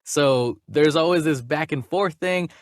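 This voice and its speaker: A man hosting a casual movie news chat, jokey and animated, sometimes stumbling as he reads. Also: fairly high pitch, a little slow, no room sound, studio quality. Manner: jokey, animated, stumbles over names when reading. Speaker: podcast host reading and discussing movie news.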